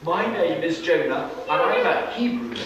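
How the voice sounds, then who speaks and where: A man's voice: fairly high pitch, expressive, moderate pace, close to an everyday voice. Man playing earnest, guilty runaway in echoey room. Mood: confessing. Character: guilty, resigned Hebrew man, runaway from God. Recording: echoey, noisy room, recorded from far off.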